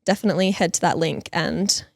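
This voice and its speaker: A woman's voice: fairly low pitch, fairly quick, vocal fry, no room sound. A woman co-hosting a podcast, chatting and reading listener stories aloud. Her voice is partly lost, and she laughs often. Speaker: podcast co-host.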